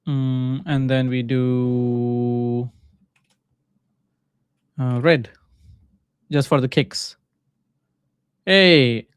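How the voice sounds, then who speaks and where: A man with a Pakistani accent and native Urdu talks casually through live coding. His voice is smooth and clear at an ordinary pitch, recorded close and dry. He thinks aloud in short, halting phrases, like a streamer chatting as he works. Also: steady tone, dry recording. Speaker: livestreaming coder and mentor.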